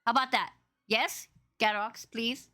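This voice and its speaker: A woman with a loud, boomy voice hosting a casual livestream podcast, effusive, playful and rambling as she chats with her guest. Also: fairly even intonation, a little slow. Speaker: podcast host and interviewer.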